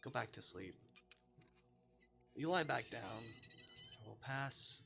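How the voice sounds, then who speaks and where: Game streamer, mid-range voice, unhurried, very animated delivery, mutters then exclaims, music underneath. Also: mid-range voice, creaky. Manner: expressive, swinging between muttering and exclaiming. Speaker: live streamer playing a visual novel. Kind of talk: gaming livestream commentary, reading game text aloud. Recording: music behind the voice.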